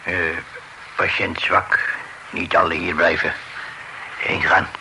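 An actor playing a gruff doctor in a radio drama, talking in clipped telegraphic fragments with a gravelly voice. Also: male voice, sits low, level tone, slow, bright, forceful tone, exaggerated voice. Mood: blunt. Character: curt, gruff doctor at a sickbed. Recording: noisy old radio drama recording.

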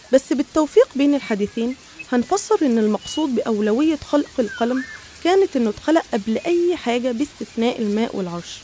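Fast, high-pitched Egyptian woman narrator. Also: dark tone, a little hiss or hum. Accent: Egyptian Arabic. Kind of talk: educational religious storytelling narration.

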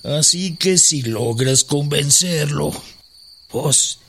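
An actor with a Mexican accent playing a persuasive, pragmatic revolutionary conspirator in a radio drama. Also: male voice, on the high side, slow, fairly bright tone, slight background noise. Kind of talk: Spanish-language radio drama dialogue.

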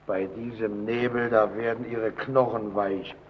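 An actor playing a domineering, suspicious and irritable lighthouse keeper in an old German radio drama, speaking in a stern, interrogating way. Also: male voice, on the low side, level tone, measured pace, close to an everyday voice. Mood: grumbling, goading. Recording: dull, noisy old radio recording.

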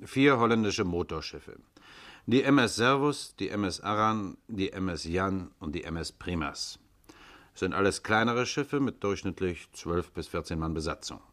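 Sachlicher Kommissar mit tiefer Stimme. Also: male voice, deep voice, moderate pace, fairly bright tone. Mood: matter-of-fact. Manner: matter-of-fact, commanding, clipped. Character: brisk, sober, authoritative male police inspector. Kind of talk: 1950s German radio crime drama acting.

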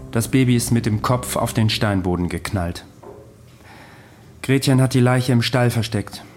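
An actor playing a weary immortal man who recounts his past in a radio drama, dry and deadpan. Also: lowish voice, close to an everyday voice. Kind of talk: German radio drama dialogue.

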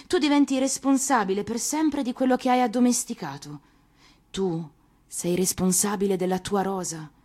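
A woman voicing a gentle, earnest female fox who explains things patiently in an expressive storybook manner. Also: medium pitch, moderate pace, lightly acted. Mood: tender, solemn.

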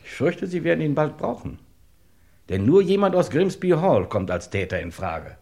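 An actor playing a courteous, insinuating detective in a vintage radio crime play, formal and pointed. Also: male voice, on the high side, big pitch swings, normal speed, creaky, noisy background. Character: dry, courteous, insinuating male police detective.

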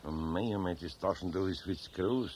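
An actor playing a grumbling but caring old Bavarian man in a children's radio play, with a homely, expressive Bavarian manner of speech. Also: deep voice, a little fast, natural voice. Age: older. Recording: noisy 1970s radio play recording.

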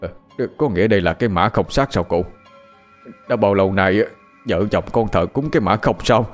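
A man's voice: medium pitch, big pitch swings, fast talker, darkish tone, soft background music. A man voicing a grieving old father who cries out in anguished pleas. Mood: tearful.

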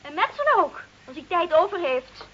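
A nagging, discontented village wife in a radio drama. Her voice is high and sharp, complaining and full of scorn. It sounds like an old, muffled recording. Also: very animated, moderate pace, full character voice. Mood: scornful. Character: discontented, nagging bricklayer's wife, homesick for Switzerland. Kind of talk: radio play acting.

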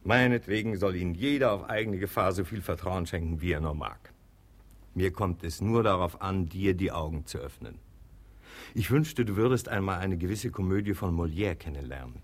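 An actor playing a sensible middle-aged brother in a German radio comedy, reasoning patiently with dry, teasing mockery. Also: male voice, low-pitched, animated, normal speed. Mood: reasoning, insistent. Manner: reasoning, dryly mocking. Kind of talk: German radio play acting of classic comedy.

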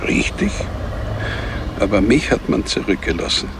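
A man's voice: fairly low pitch, animated, normal speed, lightly acted. An actor playing a polite, understated male city archivist in a German radio crime drama. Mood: polite, modest. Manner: courteous, understated. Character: polite, middle-aged male city archivist, discreetly offering murder jobs. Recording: clear ambient noise behind the voice.

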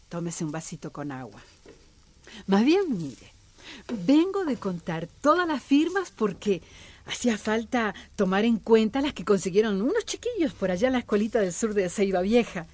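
A woman's voice: on the high side, very animated, moderate pace, darkish tone. A woman playing a capable, reassuring village activist and neighbour in a radio drama.

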